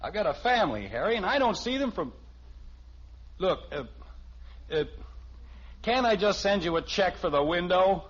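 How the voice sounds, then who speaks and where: Exasperated middle-aged suburban father, weary sarcasm, lively delivery, muffled vintage radio comedy. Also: high voice, moderate pace, long pauses, slightly rough, heavily acted. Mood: flustered. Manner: weary sarcasm, sputtering when interrupted. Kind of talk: 1950s radio sitcom acting. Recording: muffled, hissy old radio broadcast.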